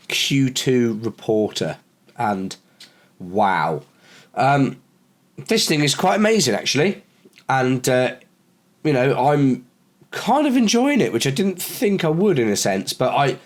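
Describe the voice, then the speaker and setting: A man with a sore throat who sounds a little croaky, chatting casually in a review vlog with lots of fillers and restarts. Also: mid-range voice, big pitch swings, slow, long pauses. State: hoarse from a cold. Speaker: camera reviewer.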